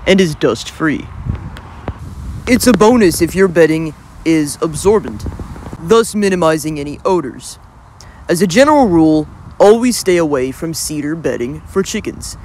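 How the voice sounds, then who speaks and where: A man narrating an instructional voice-over as the channel host, in a clear, steady teaching manner. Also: fairly high pitch, a little hiss or hum.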